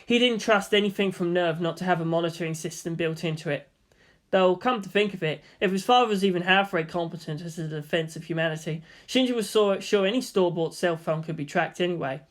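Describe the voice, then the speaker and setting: A woman reading fan fiction aloud as expressive scripted narration. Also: on the low side, fairly quick.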